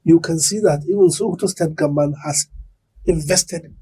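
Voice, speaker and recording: A middle-aged man with a Nigerian accent and a husky voice, methodically explaining his points as an expert guest in a broadcast interview. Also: a little high, normal speed, dark tone.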